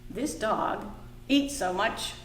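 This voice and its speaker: A woman reading a picture book aloud to small children at a library storytime, singing the refrains. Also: medium pitch. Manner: slow, singsong, animated for small children. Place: library room. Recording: echoey room, faint background noise.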